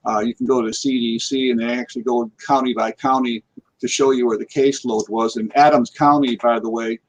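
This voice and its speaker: A man's voice: medium pitch, fairly even intonation, brisk, low-quality recording. A man in casual conversation as a guest paranormal investigator on a live talk show, rambling and repeating words as he goes.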